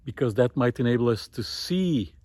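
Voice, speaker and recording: A man giving an unscripted, reflective monologue while out walking, thoughtful and hesitant, pausing often as he finds his words. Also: medium pitch, expressive, darkish tone, no echo, studio quality. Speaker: host of a walking audio diary, former teacher. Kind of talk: unscripted reflective spoken monologue recorded on a walk. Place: outdoors, walking.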